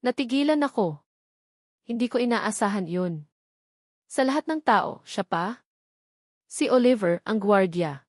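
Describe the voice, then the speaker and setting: A woman narrating a first-person romance story in a gentle, reflective manner into a close mic. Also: medium pitch, lively intonation, measured pace, pauses often, no echo.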